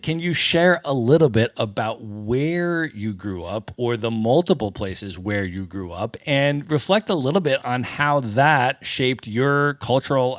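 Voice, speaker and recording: A man's voice: mid-range voice, fairly bright tone, no room sound, studio quality. A man hosting a travel podcast, reading an introduction and interviewing with upbeat enthusiasm. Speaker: podcast host.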